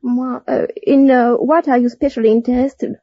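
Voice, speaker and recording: A woman's voice: a little high, steady tone, normal speed, noisy background. A woman from France with a French accent, answering as a contestant on an old radio quiz show. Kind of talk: unscripted interview answers. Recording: muffled old radio recording.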